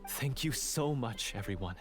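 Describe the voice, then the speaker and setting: A young male hunter acted by a man speaks at a middling pitch with highly animated swings. He is earnest, humbled and a little flustered, formal in his phrasing, as in an anime game with clear music underneath. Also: normal speed, close to an everyday voice. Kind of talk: anime video game voice acting. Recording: background music behind game dialogue.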